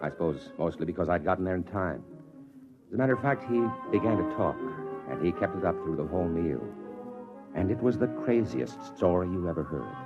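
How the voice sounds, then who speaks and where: Deep-voiced, skeptical newspaper reporter. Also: male voice, animated, a little fast, over loud music. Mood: wry narrating. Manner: plain-spoken, wry, conversational. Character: eager, skeptical, ambitious male newspaper reporter. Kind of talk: 1950s radio drama acting and first-person narration. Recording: dull old-time radio recording.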